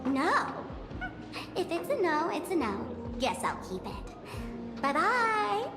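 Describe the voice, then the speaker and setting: A woman's voice: very high voice, very animated, slow pace, heavily acted. A woman playing a cheeky young female trickster in a video game, playful, nonchalant and taunting. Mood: cheeky, teasing. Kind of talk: animated video game acting. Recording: echoey, reverberant, over music.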